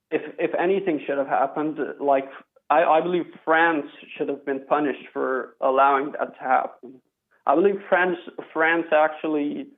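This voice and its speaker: A young man from Flanders in Belgium calling in to a talk show, speaking haltingly with many fillers and restarts. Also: fairly high pitch. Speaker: caller. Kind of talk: phone-in call. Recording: tinny phone line.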